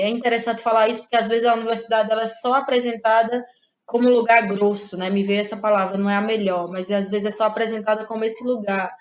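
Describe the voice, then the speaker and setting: A young woman from northeastern Brazil, speaking with a Ceará accent, answers interview questions in a thoughtful, searching way. Her voice sits a little high for a woman, and she often circles back to rephrase her ideas. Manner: reflective, thinks aloud with restarts and frequent "né?". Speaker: guest playwright. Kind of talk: podcast interview.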